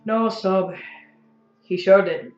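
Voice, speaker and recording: A woman's voice: medium pitch, takes it slowly, darkish tone, lightly acted. A woman playing a dying prisoner, weak and coughing, wry and weary, in an audio drama. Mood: bitter. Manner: sardonic, weary. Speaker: voice actor. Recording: echoey, dull, faint music behind.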